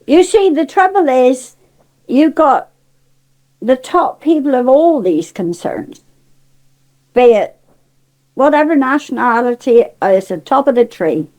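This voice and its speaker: A woman's voice: high voice, expressive, takes it slowly, pauses often, a little mellow, rough recording. An older woman with a Cornish accent, speaking in an emphatic, forthright and indignant way as she gives her opinions in conversation. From Cornwall, England. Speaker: interviewee.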